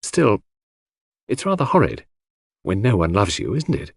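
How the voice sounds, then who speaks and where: A man voicing a gentle young woman who speaks in a hesitant, plaintive, confiding way in an audiobook. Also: lowish voice, big pitch swings, unhurried, gravelly, slightly rough, dry recording, studio quality, heavily acted. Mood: gloomy, plaintive.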